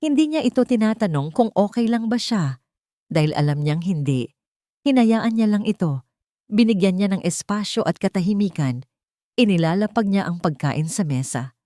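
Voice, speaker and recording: A woman narrating a scripted dramatic love story as a storyteller, in measured, short dramatic phrases. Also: medium pitch, lively intonation, mellow, dry recording, studio quality.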